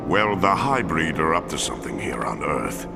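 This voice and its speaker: A four-armed alien cop with a super deep voice, formal and stiff, game music going under it. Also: male voice, sing-song, gravelly, long reverb tail, full character voice. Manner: formal, measured, commanding. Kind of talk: video game cutscene acting.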